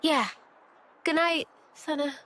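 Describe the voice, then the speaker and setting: Slow-speaking gentle young woman soldier. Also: high-pitched, rough recording. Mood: evasive, subdued. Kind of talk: video game cutscene acting.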